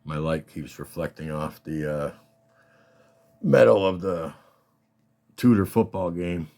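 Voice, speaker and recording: A man with a slightly scratchy voice, casually and haltingly talking through his collection as a hobbyist host. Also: sits low, creaky, warm tone. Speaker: collector hosting a card showcase. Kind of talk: casual rambling show-and-tell talk.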